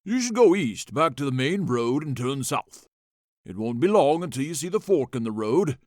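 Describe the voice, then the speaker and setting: A man voicing a scholarly old gentleman, an enchanted signpost, with folksy, joking, courtly patter for an audiobook. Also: mid-range voice, sing-song, moderate pace, dry recording, clean studio sound, natural voice. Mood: helpful, folksy. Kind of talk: audiobook character voice.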